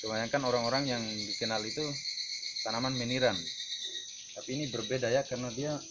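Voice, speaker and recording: A man presenting an informal demonstration vlog, explaining in a halting way. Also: mid-range voice, fairly even intonation. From North Buton, Southeast Sulawesi, Indonesia. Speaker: herbal remedy video presenter. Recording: noisy outdoor audio with insects and birds.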